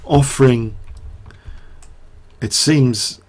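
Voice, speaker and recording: A middle-aged man commentating live on a chess game, talking through the moves and quizzing his viewers, his voice getting croaky. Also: mid-range voice, drawn-out words, darkish tone.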